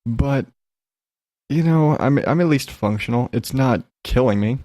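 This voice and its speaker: A man's voice: medium pitch, a little slow, warm tone, no echo. A man hosting a solo podcast, chatting in a rambling, frank, sweary way, slightly congested as he gets over an illness. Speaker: podcast host. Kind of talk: casual solo chat, rambling personal update.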